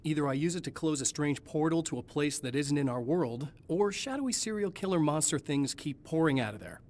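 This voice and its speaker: A man's voice: fairly high pitch, animated, fairly quick, darkish tone, close to an everyday voice. An actor playing a weary, determined male horror novelist with a dry, matter-of-fact delivery in a game cutscene. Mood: wry. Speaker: writer, protagonist.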